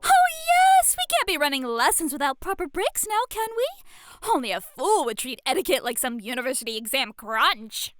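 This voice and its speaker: A woman playing a playful, excitable lady tutor of flirtation in a scripted ASMR roleplay, speaking in a refined, gushing, theatrical manner. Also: sits high, moderate pace. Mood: playful, brisk.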